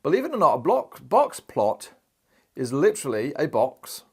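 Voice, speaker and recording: Lively, chatty male maths teacher. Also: fairly high pitch, moderate pace, slightly rough. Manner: lively, chatty, acts out quoted voices. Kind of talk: recorded maths lesson. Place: indoor studio in front of a screen.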